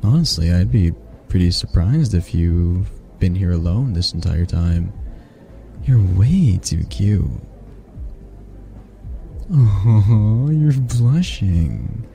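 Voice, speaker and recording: Guy playing a teasing half-dragon college dude, flirty asmr roleplay, low voice n kinda gravelly. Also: expressive, dark tone, soft background music, exaggerated voice. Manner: teasing, sardonic, unhurried. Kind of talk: intimate ASMR roleplay acting.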